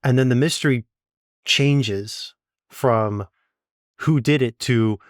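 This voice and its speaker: A man interviews in a casual, conversational style. His voice sits at an average pitch. The recording is dry and close, with very little room sound. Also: takes it slowly, dry recording.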